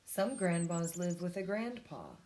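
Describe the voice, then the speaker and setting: A woman's voice: lowish voice, sing-song, measured pace, a little mellow, a little hiss or hum. A woman hosting a children's storytime, reading a picture book aloud in simple narrated lines. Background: music.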